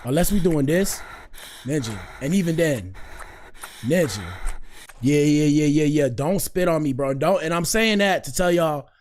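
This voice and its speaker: A man's voice: a little high, lively intonation, measured pace, a little mellow, slight background noise. A man hosting a livestream with casual, slangy reaction commentary, swearing and repeating himself for emphasis. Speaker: livestream show host.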